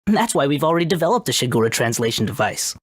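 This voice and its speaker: A woman's voice: a bass voice, big pitch swings, quick pace, breathy edge, no echo, studio quality, heavily acted. A woman voicing a brainy, self-assured boy who heads a research lab in a video game, matter-of-fact and bossy. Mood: explanatory. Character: confident brainy boy genius, head researcher.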